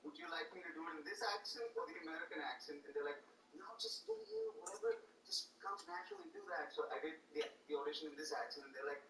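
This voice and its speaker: A man's voice: a little high, fast, vocal fry, reverberant, far from the mic, slight background noise, low-quality recording. A man from India with an Indian accent, an actor and comedian guest telling playful stories in casual podcast chat. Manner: animated, playful storytelling.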